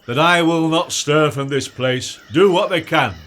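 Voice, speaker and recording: An actor playing a bumbling, boastful weaver turned donkey-headed fool in a Shakespeare radio comedy, blustering and stammering with lots of oohs and uhs. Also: male voice, on the high side, unhurried, fairly bright tone. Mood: defiant bluster.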